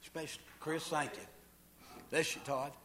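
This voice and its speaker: A man's voice: fairly high pitch, fairly even intonation, moderate pace, fairly bright tone. Fervent pastor man calling out amens. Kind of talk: leading a church service. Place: church sanctuary.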